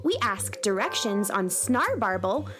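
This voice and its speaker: A woman's voice: medium pitch, very animated, unhurried, music in the background. A woman playing a plucky young space cowgirl who narrates and acts in a children's radio serial. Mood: excited storytelling.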